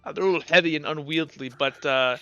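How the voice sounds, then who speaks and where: A man's voice: fairly high pitch, quick pace, sharp tone, smooth, no echo, highs cut off. A man voicing a seasoned, frank seafaring fighter who mentors youngsters in a tabletop game, casual and instructive.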